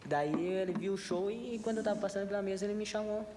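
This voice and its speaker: Fast-talking young man, southern Brazilian accent. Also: high-pitched. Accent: Rio Grande do Sul Portuguese. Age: young adult. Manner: eager, rapid, repeats himself. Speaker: guest performer. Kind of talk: talk show interview. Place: large TV studio with audience. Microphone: desk microphone. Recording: studio hall reverb, some background noise.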